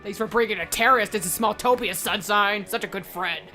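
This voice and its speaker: A woman's voice: mid-range voice, fairly quick, bright, forceful tone, faint music behind. A woman in casual gaming banter, teasing sarcastically. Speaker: let's play co-host.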